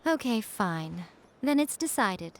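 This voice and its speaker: Sly, teasing shrine priestess. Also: female voice, medium pitch, very animated, slow. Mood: brisk. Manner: teasing, languid, haughty. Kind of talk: video game character acting. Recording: game music behind the voice.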